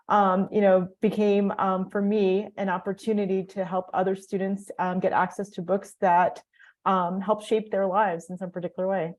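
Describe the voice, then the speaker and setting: A woman from the American Midwest speaking as a panel guest. She talks hesitantly, pausing on fillers as she goes. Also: mid-range voice, a little mellow. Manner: hesitant, many ums and you knows.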